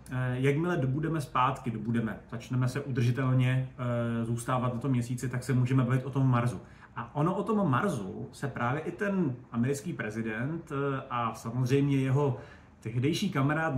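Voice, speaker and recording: A man speaking as an expert guest in a conversational interview, digressing and often correcting himself as he goes. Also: mid-range voice. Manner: digressive, hesitant, self-correcting. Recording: echoey room with faint noise.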